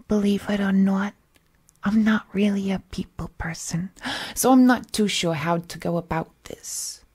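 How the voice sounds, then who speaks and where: A woman acts out a possessive, unhinged female kidnapper for a roleplay audio. Her voice is soft-edged and mellow, and she takes her time, coaxing in folksy slang with sweet menace and slipping into breathy whispers. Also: mid-range voice. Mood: musing menace. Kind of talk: roleplay audio acting, intimate monologue.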